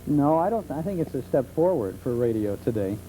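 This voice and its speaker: A middle-aged man being interviewed as a talk show guest, explaining things conversationally with hesitant pauses. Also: mid-range voice, big pitch swings, mellow. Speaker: talk show guest, old-time radio enthusiast. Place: television studio. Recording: muffled 1970s television tape with hum.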